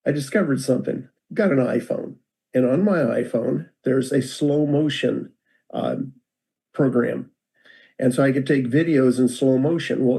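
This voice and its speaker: An older American man tells stories in a relaxed, meandering way, often stopping and restarting his sentences. His voice is mellow and dark, and he is heard over a video call. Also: medium pitch, creaky. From United States. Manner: relaxed, rambling, self-deprecating with many restarts. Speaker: guest speaker.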